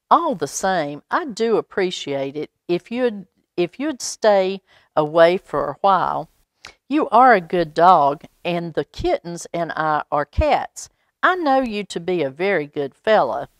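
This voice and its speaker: A self-satisfied mother cat from a storybook, read by a woman, aloof and protective. She speaks slowly and politely but firmly, recorded close and dry. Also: on the low side, dry recording. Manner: smug, firm, politely warning.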